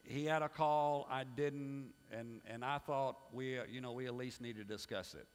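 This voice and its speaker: A middle-aged male pastor preaching a sermon, emphatic and swinging between loud pushes and hushed asides. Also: mid-range voice, fairly even intonation, moderate pace. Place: church sanctuary. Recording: long hall reverb.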